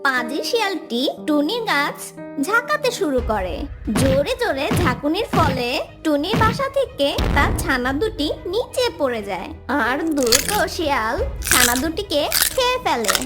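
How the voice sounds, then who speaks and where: High-voiced woman narrating a children's tale. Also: very animated, moderate pace. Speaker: storyteller. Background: loud music.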